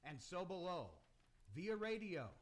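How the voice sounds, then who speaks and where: A man's voice: sits high, very animated, measured pace. A man hosting and narrating a live radio theater broadcast from a studio room. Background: background noise.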